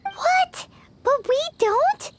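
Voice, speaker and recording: A woman's voice: expressive, very slow, exaggerated voice. A woman voicing a tiny, childlike fairy companion in a video game, perky and blunt, with noise behind her. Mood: perky, curious. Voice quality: squeaky. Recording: loud background noise and clicks.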